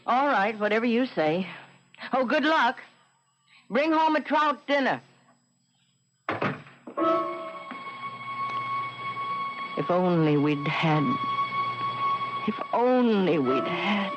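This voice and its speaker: Gentle, wistful older housewife, expressively lilting. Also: female voice, medium pitch, expressive, slow pace, long pauses, music in the background. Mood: wistful, resigned. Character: gentle, wistful retired housewife, unhappily childless. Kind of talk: radio drama acting. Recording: dull lo-fi radio drama recording.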